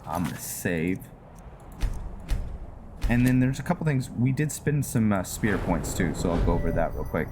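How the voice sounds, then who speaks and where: A man giving casual gameplay commentary mixed with story narration, halting and thinking aloud as he goes. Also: deep voice, animated, background noise. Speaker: host of a gaming roleplay series. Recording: music behind the voice. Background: music.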